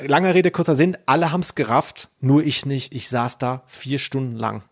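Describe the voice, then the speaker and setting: A man's voice: mid-range voice, normal speed. Chatty, self-deprecating man on a podcast. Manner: self-deprecating, rambling storytelling. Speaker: podcast host. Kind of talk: casual podcast chat, personal anecdote.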